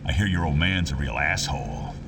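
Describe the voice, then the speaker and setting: An actor playing a shady male drug pusher making a wheedling pitch, heard as distant game audio over music. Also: animated, fairly quick, gravelly, noisy background, heavily acted.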